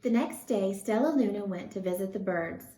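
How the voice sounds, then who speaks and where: A woman's voice: medium pitch, normal speed, mellow, roomy sound. A woman reading a storybook aloud expressively for children.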